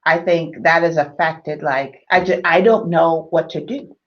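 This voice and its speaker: A woman from the Bahamas co-hosting a marriage talk show, speaking thoughtfully and hesitantly with many pauses and fillers. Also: low-pitched, fairly even intonation, muffled recording. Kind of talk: conversational discussion.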